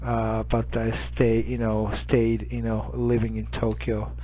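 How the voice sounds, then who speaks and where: A man's voice: on the low side, monotone, unhurried, warm tone, a little hiss or hum, low-quality recording. A man in his fifties with a Japanese accent, chatting casually as an amateur radio operator, hesitant and searching for words. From Hokkaido, Japan. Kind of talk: casual radio contact chat.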